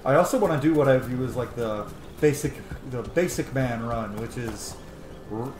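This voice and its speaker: A man's voice: medium pitch, moderate pace, darkish tone, a little hiss or hum. Chatty man, echoey game commentary. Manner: chatty, musing, rambling. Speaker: gaming streamer, let's-player. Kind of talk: casual gameplay commentary. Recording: roomy echo, faint game music. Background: faint game music.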